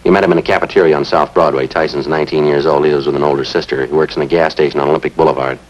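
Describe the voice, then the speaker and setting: An American actor playing a terse, relentless police detective in a 1950s television crime drama, reciting facts in a clipped, deadpan way. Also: male voice, extremely low pitch, very fast. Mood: flatly factual. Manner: deadpan, matter-of-fact, clipped. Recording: dull vintage 1950s TV soundtrack.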